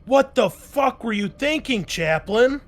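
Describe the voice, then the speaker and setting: Man doing an irate, raised-pitch woman marine. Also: lively intonation, measured pace, exaggerated voice. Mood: furious. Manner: loud, explosive swearing. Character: irate, foul-mouthed female marine.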